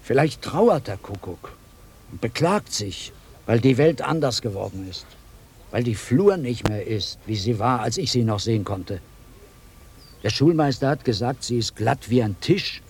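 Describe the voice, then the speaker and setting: An actor playing a blind old village woodcutter, brooding and argumentative, in a radio drama. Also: male voice, mid-range voice, animated, a little slow, a little mellow. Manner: rustic, ruminative, argumentative.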